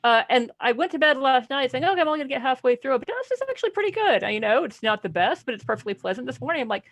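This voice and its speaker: A woman chatting casually as a panelist on a book podcast, telling things in an animated, wry way. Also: high voice, very fast. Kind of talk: casual book-club discussion.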